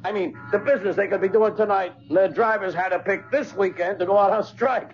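An actor playing a gruff, wisecracking traffic cop with blunt, slangy speech in a 1970s radio drama. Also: male voice, high-pitched, brisk, lightly acted. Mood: brusque. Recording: dull restored radio broadcast.

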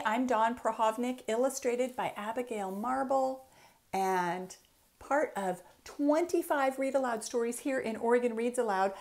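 A middle-aged American woman, a children's author, reading aloud expressively and deliberately for a story time, talking directly to young listeners. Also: on the high side, darkish tone. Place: small room at home, indoors.

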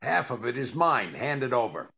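Gravelly deadpan old radio husband, played by a man. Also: mid-range voice, normal speed, dull audio, full character voice. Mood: dry, patient. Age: older. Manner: deadpan, hesitant with uhs. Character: dry, put-upon comedian husband doing income tax. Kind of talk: 1940s radio comedy acting.

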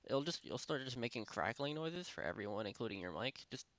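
A young man casually talking through a software tutorial at his home computer, rambling with lots of fillers and restarts. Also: medium pitch, animated, fairly quick, no echo. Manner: rambling, many fillers and self-corrections. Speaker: tutorial host. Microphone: desktop microphone at a PC.

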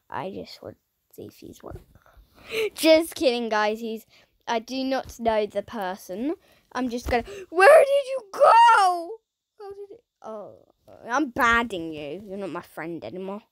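A woman giving playful, dramatic commentary over a video game, her voice croaky and strained. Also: mid-range voice, dry recording. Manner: dramatic, silly, swings between shouting and whispering. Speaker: gaming YouTuber.

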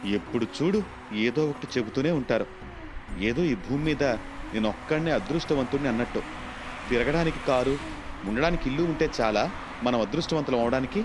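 A man's voice: medium pitch, expressive, fairly quick, long pauses, noisy background, natural voice. A man voicing a sulky, spoiled young man who complains indignantly in a moral storybook tale. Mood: irritated complaining. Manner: whiny, indignant. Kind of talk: Telugu moral storybook acting.

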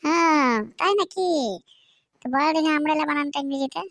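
A woman's voice: high voice, steady tone, a little fast, no echo. A woman voicing a hot-headed, scornful young man in a comic cartoon. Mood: mocking outrage. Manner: loud, scornful, foul-mouthed. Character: hot-headed, mocking young man guarding his sister's marriage.

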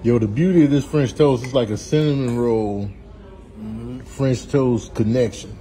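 A man giving comedic, casual commentary in an emphatic, slangy, animated manner. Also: medium pitch, a little slow, poor audio. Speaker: comedian doing voiceovers and food vlogs.

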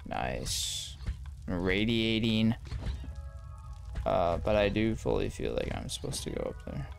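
A man streams a video game in a slow, frying voice of middling pitch. He mutters to himself in casual slang and swears freely, with game music playing behind him. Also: big pitch swings, vocal fry. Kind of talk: casual gameplay commentary.

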